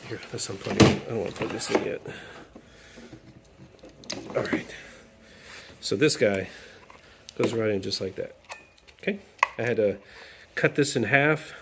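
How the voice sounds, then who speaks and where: A man with a mid-range voice hosting a hands-on repair walkthrough, chatty and thinking aloud as he works. He slips into playful repetition of words now and then. A faint background noise hangs behind him in the workshop. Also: mid-range voice. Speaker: DIY video host. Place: workshop or garage, cold day.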